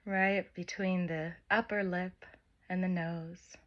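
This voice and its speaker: Slow, even-toned woman guiding meditation. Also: on the low side, muffled recording. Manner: unhurried, gentle phrasing with long pauses. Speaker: meditation guide. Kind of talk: guided meditation, soft spoken instruction. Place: by the open air with wind and birds.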